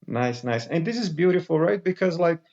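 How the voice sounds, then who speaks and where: A man's voice: fairly high pitch, lively intonation, fairly quick, a little mellow, muffled recording. Brazilian man hosting in English. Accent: Brazilian Portuguese. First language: Portuguese. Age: 30s. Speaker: English teacher and podcast host. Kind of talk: casual podcast chat.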